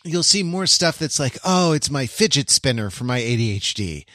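A man talking casually as a podcast host, halting and rambling, often interrupting himself, with a wry, self-deprecating manner. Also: mid-range voice, sing-song, sharp tone, dry recording, clean studio sound. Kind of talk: casual chat.

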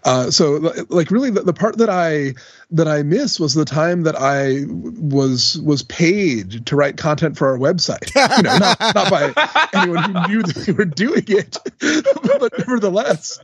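A man's voice: fairly high pitch, expressive, measured pace, husky, dry recording, dull audio. A man on a podcast panel, chatting casually and reminiscing.

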